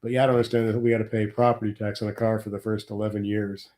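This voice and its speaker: Sniffly man with a mellow voice. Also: on the low side, level tone, very fast. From Nebraska, USA. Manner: rambling, wry complaining about taxes. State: sniffly from a cold. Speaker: livestream host. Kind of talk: casual live chat.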